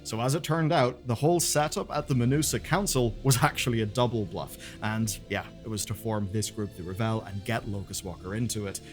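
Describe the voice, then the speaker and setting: A man presenting game lore as dramatic scripted narration, with pointed pauses and colloquial asides. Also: on the low side, soft background music.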